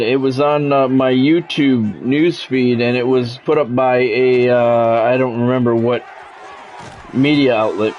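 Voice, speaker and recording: A man's voice: medium pitch, darkish tone, slight background noise. A middle-aged American man streaming a sports video game, mixing casual chat with punchy announcer-style play-by-play. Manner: conversational, switching into punchy announcer-style calls.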